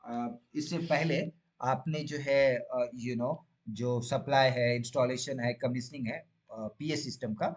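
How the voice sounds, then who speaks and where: An Indian man speaks in a medium-pitched voice as a channel host explaining things step by step. His delivery is informal and instructional. He blends Hindi with English terms and often adds short questioning tags to check the listener follows. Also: fairly even intonation, takes it slowly, few pauses. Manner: frequent check-in tags like "right?" and "okay?".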